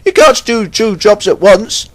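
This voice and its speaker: A man's voice: high-pitched, lively intonation, a little slow, voice pushed up. A man voicing a kindly engine driver, firm and encouraging. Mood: stern. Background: loud background music.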